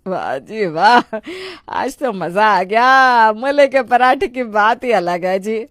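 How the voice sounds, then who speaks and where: A woman's voice: a little high, lively intonation, slow pace, a bit bright, no room sound, clean studio sound. A woman voicing a stubborn, greedy older mother-in-law in a moral story, bossy and exclamatory with a whining edge. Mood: delighted. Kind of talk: Hindi moral story voice acting.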